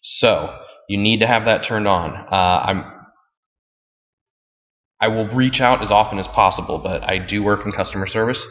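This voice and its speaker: A man giving a casual, plainspoken step-by-step tutorial as he walks through a screen. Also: moderate pace. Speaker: fantasy football league commissioner. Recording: muffled, boomy room with long reverb.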